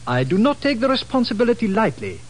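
An actor playing a formal, skeptical colonel in an old-time radio drama. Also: male voice, sits high, sing-song. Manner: formal, courteous, measured wording. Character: formal, skeptical Portuguese colonel in charge of security. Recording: slightly noisy vintage radio recording.

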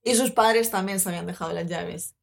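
A young woman from Spain with a Spanish accent, co-hosting a podcast and telling a lively drinking anecdote in casual chat. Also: lowish voice, fairly quick, vocal fry. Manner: animated, laughing anecdotes. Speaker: podcast co-host.

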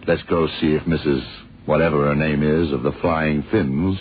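Stubborn detective boss, super low voice, lecturing, old tinny radio show. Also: male voice, fairly even intonation, a little hiss or hum. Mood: intent. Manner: insistent, deliberate, lecturing. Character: dogged, hunch-driven senior male investigator, dryly authoritative. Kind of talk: 1970s radio drama acting. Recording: tinny vintage radio recording with music.

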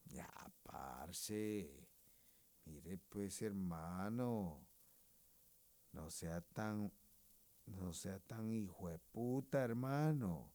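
A man's voice: low voice, lively intonation, drawn-out words, warm tone, no echo, exaggerated voice. A man doing a drawling Colombian guy. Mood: reproachful. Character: laid-back Colombian man, grumbling at an insult.

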